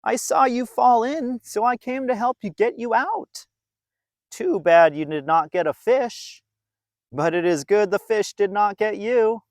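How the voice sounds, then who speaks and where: A man's voice: high voice, expressive, measured pace, dry recording, studio quality, voice pushed up. A man voicing a friendly, helpful boy in a children's storybook, speaking in an eager, reassuring way.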